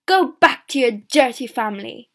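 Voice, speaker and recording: A woman voicing a grumpy, indignant fat male peddler of fifty in a storybook, speaking slowly and emphatically. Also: high voice, expressive, fairly bright tone. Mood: gruff, indignant. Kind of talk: storybook character acting.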